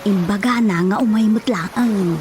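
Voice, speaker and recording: An actress playing a chatty, gossipy village woman in an Ilocano radio drama comedy, with an Ilocano accent and an animated, familiar delivery. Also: medium pitch, measured pace, soft background music, natural voice.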